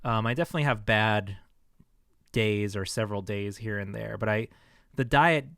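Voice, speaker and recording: A man's voice: fairly low pitch, moderate pace, gravelly, a bit bright, clear, resonant tone, no room sound. A man with a tired, scratchy, fatigued voice, talking casually into a close microphone in a rambling, hesitant way. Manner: rambling, hesitant, many restarts and fillers. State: vocal fatigue, scratchy throat. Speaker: vlogger sharing health experience. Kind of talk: casual personal vlog.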